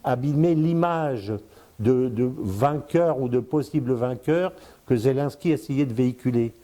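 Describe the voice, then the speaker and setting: Hesitant older man, mellow voice. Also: medium pitch, measured pace, a little hiss or hum. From born in Congo, lived in Africa, Spain, Brazil. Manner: hesitant, many fillers and repeated words; explains at length, addresses the listener directly. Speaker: strategic affairs commentator, guest. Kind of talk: casual spoken commentary.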